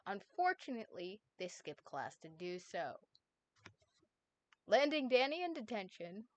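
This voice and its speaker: Giggly woman with a lively, bouncy voice. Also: mid-range voice. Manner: giggly, playful, swears casually. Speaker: streamer host. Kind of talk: casual stream chat, reading a fanfic summary aloud.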